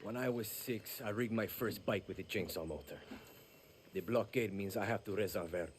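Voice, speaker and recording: A man's voice: on the low side, normal speed, slight background noise, lightly acted. A man playing a blunt, skeptical young recruit. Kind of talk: video game cutscene acting.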